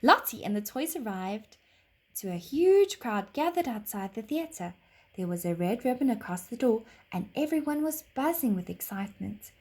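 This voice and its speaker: A woman with a fairly high, very animated voice narrates a story for children. Her phrasing is deliberate and dramatic, like a teacher reading aloud. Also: husky.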